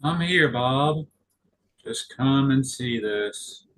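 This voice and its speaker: A man playing an eerily calm, insistent soldier in a dramatic table read, on a noisy recording. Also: medium pitch, drawn-out words. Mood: eerie, flat.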